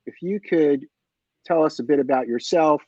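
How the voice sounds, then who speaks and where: A man's voice: fairly high pitch, moderate pace, a little mellow, no room sound. Man moderating a webinar, dull dry sound. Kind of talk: hosting a webinar. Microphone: video call.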